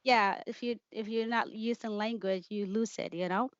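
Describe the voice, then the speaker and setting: A woman's voice: mid-range voice, fairly even intonation, normal speed, no room sound, lo-fi sound. A woman whose first language is Somali, telling her story as an interview guest, hesitant with many fillers and restarts. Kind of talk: candid personal interview.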